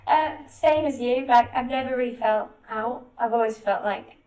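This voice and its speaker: Young woman, slightly high voice, easygoing chat, fillers, family podcast. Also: normal speed, darkish tone, rough recording. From Spain. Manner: easygoing, lots of "like" and "um". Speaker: hosts' daughter, guest.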